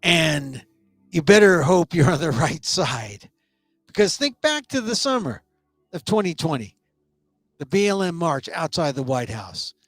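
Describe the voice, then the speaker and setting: Middle-aged male talk-show host, hoarse and scratchy, slightly higher voice, rambling opinion piece, soft music under him. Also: sing-song, measured pace, no echo. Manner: opinionated, loose rambling commentary with restarts. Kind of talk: live online talk show commentary.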